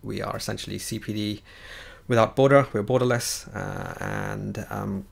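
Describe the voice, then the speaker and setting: A man hosting a live-streamed chat, speaking in a halting way with many fillers and restarts. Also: lowish voice, steady tone, vocal fry, breathy edge. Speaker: livestream host.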